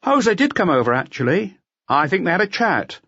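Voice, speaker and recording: A man acts out a hotel or café worker in an audiobook, pitched up and very lively in intonation. The answers are brief and matter-of-fact, on a dry recording. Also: normal speed, dry recording, muffled recording, full character voice.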